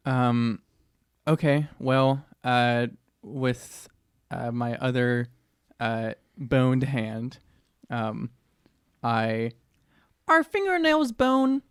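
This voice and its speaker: Halting man playing a tabletop game. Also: mid-range voice, very animated, clean tone, no echo, clean studio sound. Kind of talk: casual improvised play-by-play of own actions.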